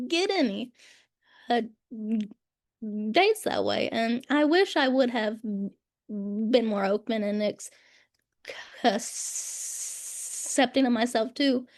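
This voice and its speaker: A woman's voice: fairly high pitch, slow, pauses often. A woman who stutters, openly and wistfully sharing her story in a group video call. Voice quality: stutters, with broken-off sounds and fillers. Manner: open, wistful recollection. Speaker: support group participant.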